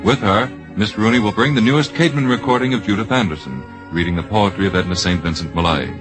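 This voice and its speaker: An American man announcing formally and deliberately from a script in 1950s radio style. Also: fairly low pitch. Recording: 1950s radio broadcast with music. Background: music.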